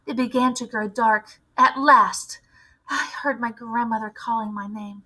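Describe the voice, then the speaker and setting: A woman reading a storybook aloud like a teacher, with expressive, deliberate phrasing. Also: a little high.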